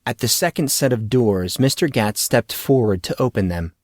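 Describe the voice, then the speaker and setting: A man's voice: mid-range voice, moderate pace, darkish tone, no room sound, clean studio sound. A man reading a scripted fantasy story as an audiobook narrator.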